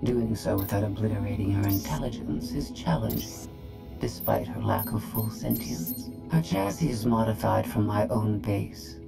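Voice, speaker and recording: A woman's voice: very deep voice, moderate pace, gravelly. A woman playing an aloof, proud droid intelligence in a video game, speaking in precise, clinical, formal phrases. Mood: cool, clinical. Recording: loud music behind the voice.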